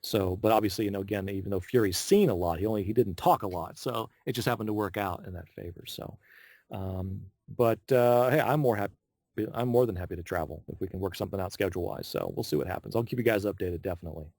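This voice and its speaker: A man talking casually as a voice actor guest on an interview show, chatty and telling stories in an animated way. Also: on the low side, fast talker, vocal fry, dry recording, clean studio sound.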